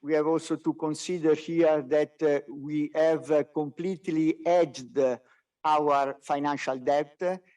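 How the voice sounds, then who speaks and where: A man's voice: a little high, steady tone, measured pace, a little mellow. A man who is a non-native English speaker gives a business results presentation as a company executive, hesitating often and repeating words. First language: not English. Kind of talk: business presentation on a conference call. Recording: distant mic, conference call audio.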